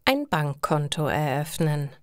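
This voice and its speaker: A woman narrating simple stories for language learners in slow, deliberate, scripted phrasing. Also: deep voice, sing-song, smooth, no room sound, clean studio sound.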